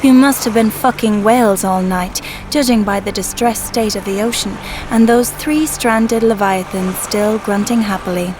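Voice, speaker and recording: A woman giving a scripted poetry reading in a measured, deadpan manner. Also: medium pitch, noisy background. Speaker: poetry reader. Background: music.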